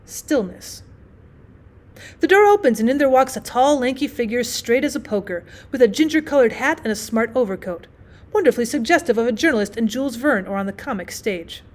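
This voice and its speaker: A woman's voice: fairly high pitch, animated, brisk. A woman narrating a classic short story as a scripted audiobook reading. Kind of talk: scripted literary narration read aloud.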